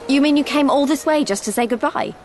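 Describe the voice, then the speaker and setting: A woman playing a spirited, friendly young adventurer in a video game, brisk and plain-spoken. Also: high-pitched, a little hiss or hum, close to an everyday voice. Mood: surprised.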